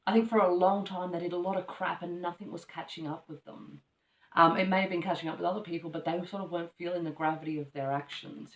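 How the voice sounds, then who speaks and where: A woman giving a casual tarot reading, rambling with many ums and restarts, her voice slightly croaky from allergies. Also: deep voice. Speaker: tarot reader. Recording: echoey room from far off the mic.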